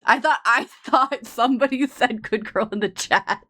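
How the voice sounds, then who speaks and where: A woman's voice: on the high side, normal speed, bright, forceful tone. A woman hosting a stream, chatting playfully and laughing easily. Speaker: streamer host. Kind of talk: casual stream chat.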